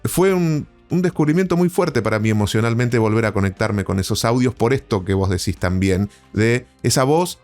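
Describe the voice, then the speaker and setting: A man's voice: on the low side, lively intonation, normal speed, hardly any pauses, a little mellow, faint music behind. A man with an Argentine accent hosting a podcast interview in a conversational style.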